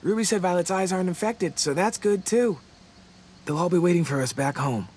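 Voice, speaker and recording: Heartfelt teenage boy survivor, fairly high voice. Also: fairly quick, a little mellow, breathy edge. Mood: relieved, tender. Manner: casual, heartfelt. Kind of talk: naturalistic video game voice acting.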